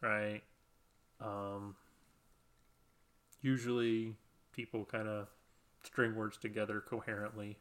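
A man's voice: fairly low pitch, little pitch movement, takes it slowly, pauses often, fairly bright tone. A man co-hosting a podcast, chatting casually in a rambling, self-deprecating way and laughing often. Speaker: podcast co-host. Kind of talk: casual chat.

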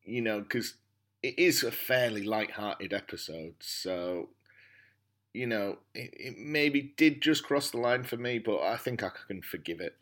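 Man, fairly low voice, podcast panelist, casual chat, tentative, measured. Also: expressive, fairly bright tone.

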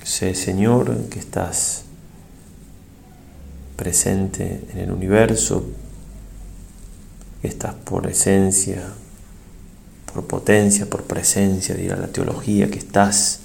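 Man, priest, mellow dark voice, unhurried, solemn devotional delivery, short phrases with pauses, faint background noise. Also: low-pitched, flat delivery, creaky. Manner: reverent, measured, pausing between short phrases. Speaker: priest leading a guided meditation. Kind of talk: guided prayer meditation, solemn and devotional.

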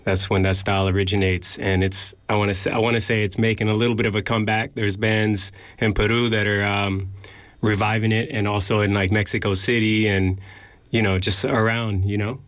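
A man's voice: on the low side, little pitch movement, brisk, a bit bright, no echo. A man, a guest percussionist in a radio studio interview, explaining plainly with small hesitations.

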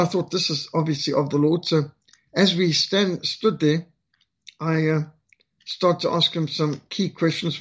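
An older man with a Rhodesian accent telling a personal story in an earnest, halting way, full of "uh" and "um". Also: a little high, husky. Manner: earnest, halting with frequent fillers.

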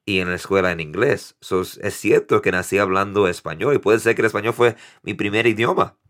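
A man in his thirties from New York hosting a casual solo podcast in Spanish, with an accent mixing Dominican and American English, halting and correcting himself often. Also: on the low side, steady tone, a bit bright. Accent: mix of Dominican Spanish and American English. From New York, United States. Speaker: podcast host.

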